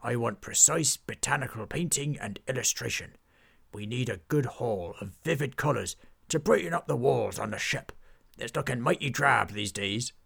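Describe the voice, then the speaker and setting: A man doing a loud, gruff pirate captain. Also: mid-range voice, expressive, moderate pace, exaggerated voice. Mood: bellowing. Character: boisterous male pirate captain, flower lover.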